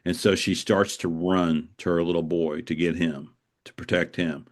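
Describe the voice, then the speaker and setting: Deep-voiced man, earnest and halting. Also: steady tone. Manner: earnest, halting, repeats phrases for emphasis. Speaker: author being interviewed. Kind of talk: reflective conversational storytelling.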